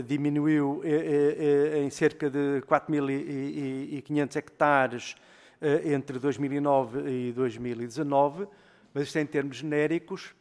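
A man speaking as a member of parliament, giving a formal speech full of filled pauses and restarts. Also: a little high, a little mellow. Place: parliamentary committee room. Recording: long hall reverb.

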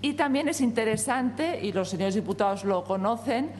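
A woman whose first language is Spanish, representing a company at a parliamentary hearing and giving formal testimony in a measured, hesitant way with frequent fillers. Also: fairly high pitch, expressive, darkish tone, long reverb tail, a little hiss or hum.

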